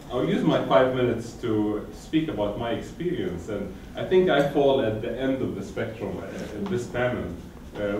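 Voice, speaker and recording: A man's voice: mid-range voice, darkish tone. Thirtyish man from Iraq, hesitant conference speaker recorded from a distance with room noise. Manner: thoughtful, hesitant, many fillers and restarts. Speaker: panelist, activist. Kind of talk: conference talk. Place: conference hall. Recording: echoey hall, distant mic, audible room noise.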